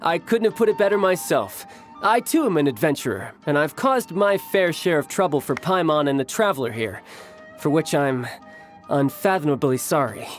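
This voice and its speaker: A cocky young adventurer from a video game, acted by a man, smooth-talking and playful. His pitch moves freely as he teases, over a faint bed of music. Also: expressive, moderate pace, natural voice. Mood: smooth, feigned politeness. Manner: playful, teasing, self-assured. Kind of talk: game cutscene acting.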